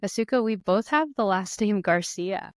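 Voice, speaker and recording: A woman's voice: mid-range voice, moderate pace, no room sound, clean studio sound. A woman hosting a podcast, talking in a friendly, conversational way. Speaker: podcast host, therapist. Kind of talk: podcast interview.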